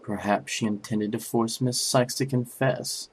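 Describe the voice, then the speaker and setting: A man voicing a composed, formal male prosecutor in a game fan dub, speaking in a measured way. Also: mid-range voice, steady tone, husky. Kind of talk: amateur game fandub acting.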